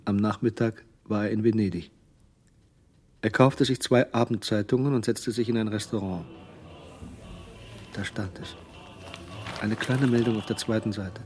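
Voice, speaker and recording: A man's voice: on the low side, steady tone, normal speed, long pauses, darkish tone, soft background music, natural voice. A man acting as the dry, observant narrator of a German crime radio drama. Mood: matter-of-fact.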